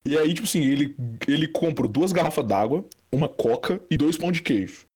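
Young Brazilian guy, fast rambling storyteller, slightly higher voice. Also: expressive, darkish tone, husky. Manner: rambling, many fillers and restarts. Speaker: storyteller guest. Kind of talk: casual chat, telling an anecdote.

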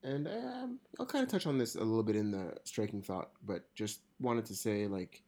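A woman's voice: rumbling low, lively intonation, normal speed, warm tone. A podcast co-host, a woman, chatting bluntly and teasingly, swearing freely and often restarting sentences. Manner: blunt and teasing, swears casually, repeats words when starting sentences. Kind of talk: casual podcast chat.

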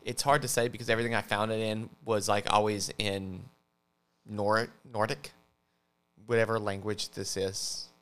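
A man co-hosting a podcast, explaining things casually in a halting way, often stammering and correcting himself. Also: lowish voice, little pitch movement, a bit bright, clean tone. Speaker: podcast host.

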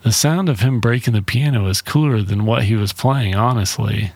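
A man's voice: fairly low pitch, normal speed, no echo. A man narrating a casual, profane movie recap in an irreverent, slangy and wry way. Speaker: film reviewer and narrator.

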